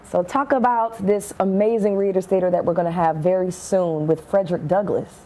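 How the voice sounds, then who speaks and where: A woman's voice: on the low side, steady tone, normal speed, dark tone. A woman hosting an interview in a conversational, encouraging way. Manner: affirming, encouraging. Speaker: interview host. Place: furnished sitting-room set indoors.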